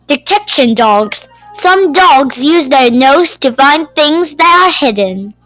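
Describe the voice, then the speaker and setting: A woman reading a children's nonfiction book aloud as host, with deliberate, simple phrasing. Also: high-pitched, fairly bright tone, no echo, low-quality recording. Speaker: YouTube read-aloud host.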